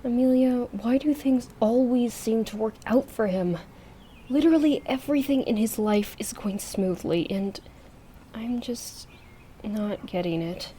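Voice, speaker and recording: A woman plays a young female monster hunter in an audio drama, still stung by an ex. Her voice is highish and soft-edged, her delivery halting, with some noise behind it. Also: close to an everyday voice. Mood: bitter.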